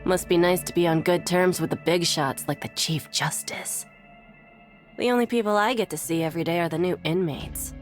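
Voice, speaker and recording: A woman's voice: fairly low pitch, moderate pace, faint music behind, close to an everyday voice. A woman voicing a weary, sardonic female prison clerk in a video game, dry and grumbling. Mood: wry.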